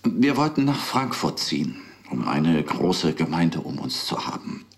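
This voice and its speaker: A man's voice: rumbling low, sing-song, unhurried, heavily acted. An actor in a radio play playing an earnest, articulate married professional man with an ironic, emphatic manner. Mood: recollecting, reflective. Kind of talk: radio play dialogue and recollection.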